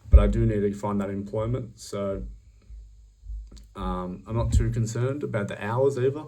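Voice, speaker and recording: A young Australian man pitching himself for a job in a fairly low voice, picked up from some distance away from the mic. He sounds upbeat and self-promoting, stumbling through frequent ums and restarts as he works from his prompts. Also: fairly even intonation, normal speed, dark tone, a little hiss or hum. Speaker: job applicant.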